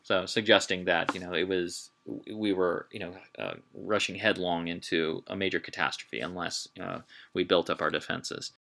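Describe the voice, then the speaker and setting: A man giving an informal history lecture in a halting way, with emphatic, dramatic delivery when quoting. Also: low-pitched, fairly even intonation, vocal fry, bright, forceful tone. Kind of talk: informal illustrated public lecture. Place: talk given to a live group.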